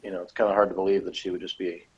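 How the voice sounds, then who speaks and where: Man, low voice, casual review chat, rambling halting delivery, muffled lo-fi sound. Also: level tone, a little mellow, breathy edge. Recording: dull lo-fi audio.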